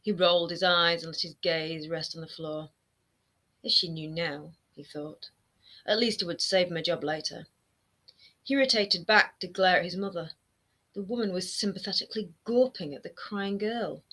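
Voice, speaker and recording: A woman in her thirties, an author reading her own fantasy novel aloud at home in an expressive storytelling manner. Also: fairly low pitch, moderate pace. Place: home room lined with bookshelves. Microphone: webcam framing, seated close to camera.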